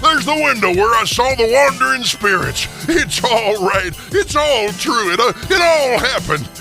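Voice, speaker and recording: An old miser in a radio play, overwrought and exclamatory. The voice sits high and swoops widely, with a creaky edge. Every phrase is pushed with full emotional emphasis. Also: male voice, lively intonation, measured pace, bright, forceful tone, soft background music, heavily acted. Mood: giddy joy. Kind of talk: radio theater acting.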